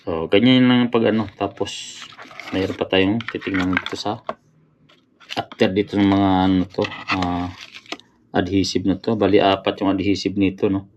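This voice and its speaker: A man's voice: low-pitched, flat delivery, normal speed, long pauses, highs cut off, background noise. A man giving casual unboxing commentary as a motorcycle vlogger, often hesitating to find words and addressing his viewers.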